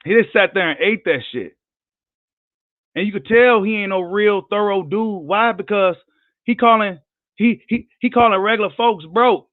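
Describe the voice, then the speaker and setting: A man with a worn, hoarse voice delivers a heated, profane rant on a live boxing video. His tone sits a touch high for a man. The sound is dry and thin, like a phone line. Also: moderate pace, dry recording. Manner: heated, profane, combative ranting. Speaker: boxing commentator.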